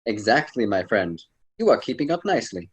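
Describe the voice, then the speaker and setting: A man playing a wise, admonishing storyteller mentor in an amateur radio drama, formal and slightly husky. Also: fairly low pitch, big pitch swings, fairly quick. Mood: patient. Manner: formal, solemn, didactic.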